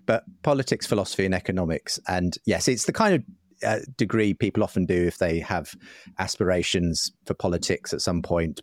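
A man's voice: low voice, no room sound, clean studio sound. A British man answering interview questions in a thoughtful, articulate, conversational way, often hedging as he goes. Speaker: guest, writer and broadcaster.

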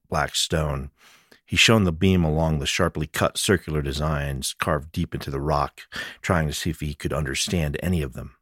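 A man's voice: very deep voice, dry recording, studio quality. A man reading scripted mystery fiction aloud as an audiobook narrator. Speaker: author reading own audiobook.

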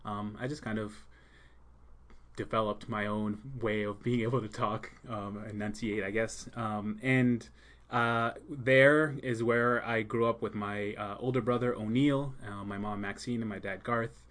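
A man born in Jamaica with a fairly low voice and no strong accent, talking in a relaxed podcast interview. He speaks softly and thoughtfully, filling pauses with ums as he searches for words. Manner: soft-spoken, many ums, thoughtful pauses. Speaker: school leader and art teacher.

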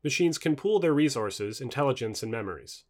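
A male narrator reads formal non-fiction prose like an audiobook. His pitch moves in a lively way and he keeps up a quick pace, while each phrase stays clearly enunciated. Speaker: reader of a non-fiction book. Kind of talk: scripted audiobook-style narration.

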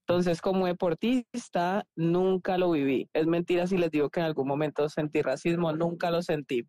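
A woman's voice: fairly low pitch, fairly even intonation, moderate pace, dark tone. A woman in her thirties with a Colombian accent, chatting candidly and easily as a podcast guest. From Cali, Colombia. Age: 30s. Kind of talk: casual conversational interview.

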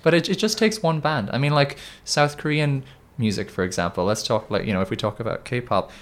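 A man's voice: medium pitch, a little fast. A man talking as a band member in a casual interview, rambling with many restarts and fillers.

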